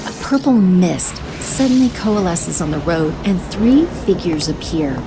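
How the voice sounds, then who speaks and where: A woman narrating fast-moving action scenes in a brisk, vivid style. Her voice sits slightly low, with a music score clearly playing underneath. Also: animated, poor audio.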